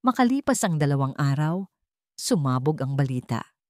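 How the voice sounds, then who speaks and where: A woman with a Filipino accent reading a scripted story as a narrator, in measured phrases with dramatic pauses. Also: low-pitched, a little mellow, no echo, studio quality.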